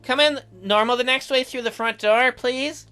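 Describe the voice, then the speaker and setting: A man's voice: very high voice, moderate pace, pushed and bright, smooth, pitched above the performer's own voice. A man playing an awkward, polite, sceptical boy in a story game, with hesitant, stammering delivery. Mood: flustered.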